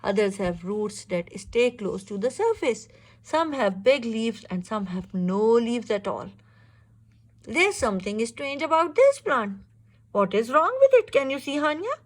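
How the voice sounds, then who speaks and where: Slow, animated woman reading to children. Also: a little high. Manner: expressive, instructive, exclaims and checks understanding. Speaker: reader teaching a young child. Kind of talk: children's picture-book read-aloud with questions.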